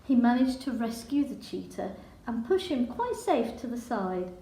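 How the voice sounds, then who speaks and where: A woman reading a children's picture book in verse with a measured, dramatic storytelling delivery. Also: on the high side, big pitch swings, warm tone. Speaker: storybook reader. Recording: echoey room.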